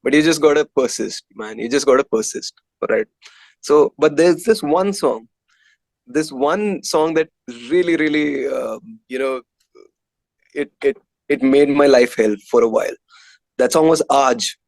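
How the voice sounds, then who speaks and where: A man's voice: a little high, unhurried, gravelly, no room sound. A man with an Indian accent chatting candidly as a musician being interviewed, emphatically repeating phrases for stress. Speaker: metal band guitarist and composer, interview guest.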